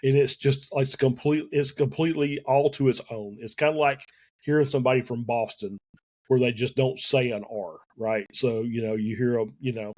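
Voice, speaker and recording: A man with a Southern US accent, a guest in a casual podcast interview, talking in halting phrases with many fillers and restarts. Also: mid-range voice, fairly even intonation, a little mellow. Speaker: guest, sim racing team co-owner.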